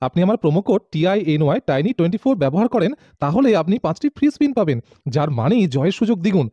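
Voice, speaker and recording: Fast, mellow man reading a promotion. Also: sits high, big pitch swings, dry recording, highs cut off. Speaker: sponsor message reader. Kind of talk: scripted promotional read.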